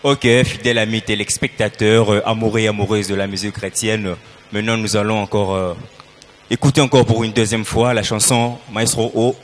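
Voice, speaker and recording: A man hosting a gospel TV show, announcing to viewers with drawn-out fillers between phrases. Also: lowish voice, flat delivery. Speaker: TV show host.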